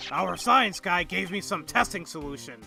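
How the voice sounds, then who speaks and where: A woman playing a gruff, folksy, friendly male police detective in a fan-dubbed video game, with a gravelly voice. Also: fairly low pitch, animated, normal speed, pushed and bright, soft background music, full character voice. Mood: friendly, explaining.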